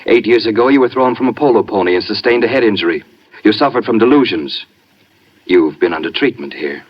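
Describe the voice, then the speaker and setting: Polite creepy sanitarium doctor, measured low voice, dull old 50s radio drama sound. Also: male voice, animated. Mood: condescending menace. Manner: measured, falsely genteel, formal. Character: smooth, sinister gray-haired sanitarium doctor. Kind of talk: 1950s radio drama acting. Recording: muffled vintage 1950s radio recording.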